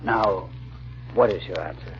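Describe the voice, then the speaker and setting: An actor playing a grave, determined baron in an old radio drama, formal and deliberate with dramatic pauses. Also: male voice, sits low, soft background music. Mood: grave, persuasive. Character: grave, determined baron seeking justice for his murdered wife. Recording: muffled, slightly hissy old radio recording.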